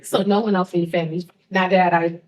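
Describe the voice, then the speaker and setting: A woman's voice: fairly low pitch, flat delivery, quick pace, darkish tone, low-quality recording. A woman hosting a casual interview, asking curious, playful questions. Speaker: host.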